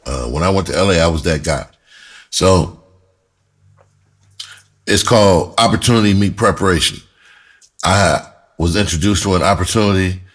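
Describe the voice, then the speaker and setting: A middle-aged American man speaks in a very low, husky voice. He tells his story casually, as if to friends. His delivery is blunt and emphatic, full of swearing and street slang. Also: a bit bright. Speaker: screenwriter telling his own story. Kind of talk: casual first-person storytelling to camera.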